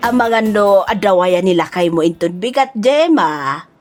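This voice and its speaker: A woman's voice: mid-range voice, expressive, unhurried, a little mellow, faint music behind, lightly acted. A woman playing a kindly, hospitable older auntie and mother in a comedy audio drama, homely and reassuring, with an Ilocano accent. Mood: inviting.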